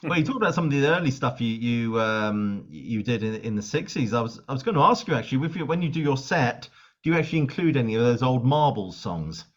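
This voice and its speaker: Fast-talking man on dull lo-fi audio. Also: mid-range voice, lively intonation. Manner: quick, eager, frequent short backchannels. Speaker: interviewer, channel host. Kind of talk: casual interview.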